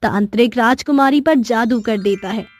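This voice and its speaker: A woman's voice: a little high, normal speed, no echo, clean studio sound. A woman reading scripted narration for a cartoon story as its narrator.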